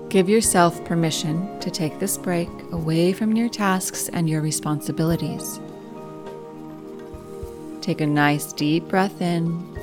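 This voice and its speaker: A woman narrating a guided meditation as host, calm and measured, giving gentle step-by-step instruction. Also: fairly low pitch. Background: music.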